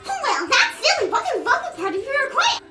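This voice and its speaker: Squeaky, hyperactive party pony girl. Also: huge pitch swings, fairly quick, heavily acted. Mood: bubbly, gushing. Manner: breathless gushing chatter with loud gasps. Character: hyper, chatty, excitable young female party pony. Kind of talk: cartoon fandub acting. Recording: roomy echo under loud music.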